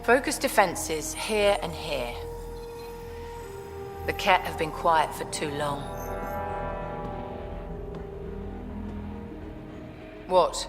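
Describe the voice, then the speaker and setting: Tough female crime boss, video game, lowish pitch, imperious, sardonic, long reverb. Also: expressive, slow. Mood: commanding. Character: tough, commanding female outlaw port boss. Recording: long hall reverb, music behind.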